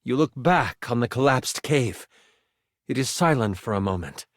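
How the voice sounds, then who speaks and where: A man's voice: mid-range voice, animated, dry recording, clean studio sound, close to an everyday voice. An actor playing a game master in a video game, a man narrating a tabletop fantasy adventure with theatrical, suspenseful timing. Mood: vivid, suspenseful. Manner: theatrical, suspenseful storytelling with weighted pauses. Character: living twenty-sided die, dramatic male game master.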